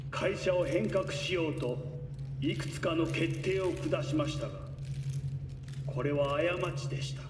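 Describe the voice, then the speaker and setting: A noisy, roomy recording of a male actor as an imperious corporate patriarch in a game. His voice sits a little high and he speaks formally, with measured authority, as if addressing the public. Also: close to an everyday voice. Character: imperious old tycoon reborn in his son's body. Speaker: corporate patriarch giving a press conference. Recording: roomy distant mic with loud background noise.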